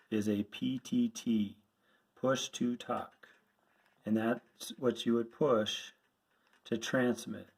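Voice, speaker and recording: A man's voice: medium pitch, darkish tone. A man giving an informal hands-on tutorial, explaining things plainly and step by step as an instructor. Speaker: radio instructor.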